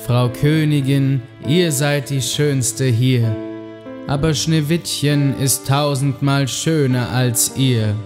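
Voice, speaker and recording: A man's voice: medium pitch, takes it slowly, long reverb tail, over music, close to an everyday voice. A man voicing a truthful magic mirror in a fairy tale, solemn in manner.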